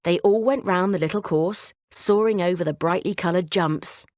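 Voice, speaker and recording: A woman narrating a scripted children's bedtime story in a measured, clearly phrased way. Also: fairly low pitch, darkish tone, dry recording, clean studio sound.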